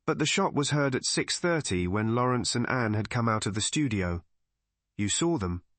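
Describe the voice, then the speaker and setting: A man voicing a shrewd, calm elderly woman detective in an audiobook mystery, explaining in a measured, methodical way. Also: mid-range voice, no echo, natural voice. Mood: methodical, assured.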